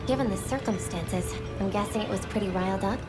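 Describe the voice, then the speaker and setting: An actress playing a calm, confident female monster hunter, brisk and plainspoken, in a video game. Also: medium pitch, close to an everyday voice. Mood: calm resolve. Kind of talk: video game cutscene acting. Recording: loud music behind.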